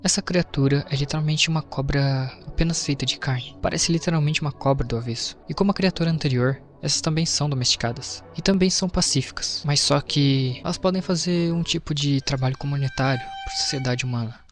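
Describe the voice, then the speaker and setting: A man's voice: a little high, brisk, hardly any pauses. A man with a Brazilian accent narrating a scripted explainer in an informal storytelling way, with casual asides. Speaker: video narrator. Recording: music behind the voice. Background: background music.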